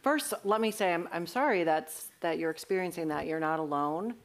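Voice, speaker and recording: A woman's voice: fairly low pitch, lively intonation, fairly quick. A woman on a symposium panel, answering an audience question in an earnest, conversational way with frequent restarts.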